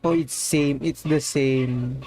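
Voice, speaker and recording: A male game streamer chats casually in a medium-pitched voice. He takes his time between remarks, with faint music playing behind him. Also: steady tone, takes it slowly, warm tone. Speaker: game livestreamer. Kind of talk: casual gameplay commentary.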